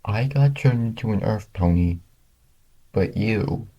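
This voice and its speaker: A man voicing an excitable young male talking pony who knows his world, in a cartoon fan story. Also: lowish voice, slow, highs cut off, heavily acted. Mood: amazed.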